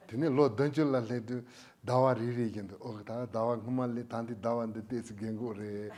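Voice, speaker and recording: A man giving an unhurried Buddhist Dharma teaching in Tibetan, laughing now and then between points. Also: medium pitch, fairly even intonation, a little mellow.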